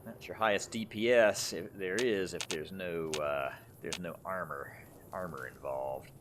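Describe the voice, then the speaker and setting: A man's voice: deep voice, unhurried, background noise. A man giving casual, rambling gameplay commentary, thinking aloud in a conversational way as he plays. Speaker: gamer, let's play host.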